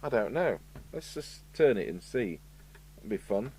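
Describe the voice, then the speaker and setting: A British man with a fairly low voice talks himself through technical work in a casual, matter-of-fact way. He sounds like a technician musing aloud at the bench. A faint noisy hum sits behind him in the workshop. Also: big pitch swings, measured pace, warm tone. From United Kingdom. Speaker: radio repair technician presenting a review. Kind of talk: casual hands-on workshop commentary, thinking aloud. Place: indoor electronics workshop. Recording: clear background noise with electrical hum.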